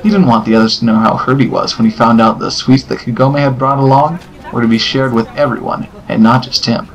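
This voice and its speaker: A man's voice: mid-range voice, fairly even intonation, a little fast, muffled recording. A man reading scripted story narration for an amateur audio drama.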